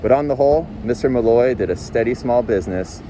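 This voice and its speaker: A man's voice: mid-range voice, fast talker. A man reading a novel aloud in audiobook style, as a volunteer narrator. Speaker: volunteer reader. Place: outdoors on a city street. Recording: faint outdoor street and traffic noise. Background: passing vehicles, faint street ambience.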